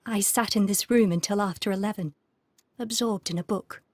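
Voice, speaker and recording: Actress as genteel, unhappy widow, dry sound. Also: female voice, medium pitch, moderate pace, no room sound, natural voice. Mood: shaken, recounting. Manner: formal, refined, plaintive. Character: genteel, unhappy aristocratic Victorian wife, newly widowed. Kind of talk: video game cutscene acting.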